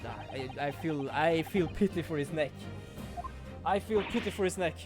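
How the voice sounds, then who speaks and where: A man streaming a game playthrough, animated, swearing and laughing at himself as he reacts. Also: fairly high pitch, moderate pace, music in the background.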